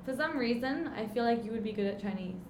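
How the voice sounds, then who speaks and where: A woman's voice: fairly high pitch, lively intonation, quick pace, slight background noise. A woman from Taiwan hosting a podcast in Mandarin and English, upbeat and asking short questions. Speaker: podcast host. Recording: clear music bed under voice.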